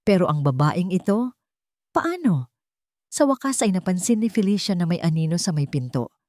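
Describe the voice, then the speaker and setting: Low-voiced woman telling a dramatic story. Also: sing-song, normal speed, mellow, dry recording, studio quality. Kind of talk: dramatic audiobook narration.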